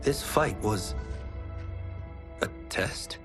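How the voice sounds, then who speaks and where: A polite young martial artist talks in a fairly low, soft male voice. His earnest, questioning lines move freely in pitch, with loud game music behind. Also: animated, very slow. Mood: puzzled, uneasy. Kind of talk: video game cutscene acting.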